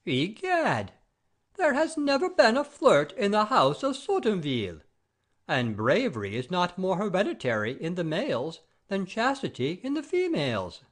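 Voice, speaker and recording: A man's voice: high-pitched, huge pitch swings, normal speed, heavily acted. An actor playing a proud, blustering baron and father-in-law in a theatrical comedy audio play, pompous and declamatory. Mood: boastful. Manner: pompous, oath-swearing, declamatory.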